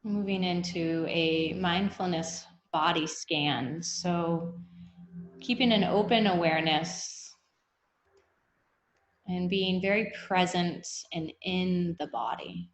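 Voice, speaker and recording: A woman guiding a meditation, speaking in an unhurried, gently instructive way with long pauses. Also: lowish voice. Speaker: meditation guide. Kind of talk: guided meditation, body scan. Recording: echoey room.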